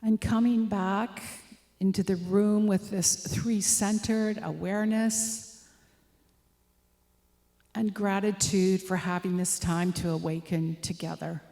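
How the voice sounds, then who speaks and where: A woman leading a guided meditation, giving gentle, inviting instructions as soft suggestions. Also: medium pitch, little pitch movement, slow pace. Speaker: meditation leader.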